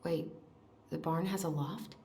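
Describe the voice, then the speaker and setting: An actress playing an earnest, frustrated, grieving young American adult in a video game drama, speaking plainly. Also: female voice, sits low, unhurried, dark tone, roomy sound, slight background noise. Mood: curious, practical.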